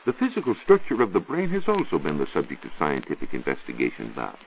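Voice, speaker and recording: A man's voice: very deep voice, huge pitch swings, quick pace, creaky, a little hiss or hum. An American man working as a science reporter, explaining in a formal, didactic way in a scripted 1940s educational radio talk. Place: radio studio. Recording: muffled 1940s radio recording.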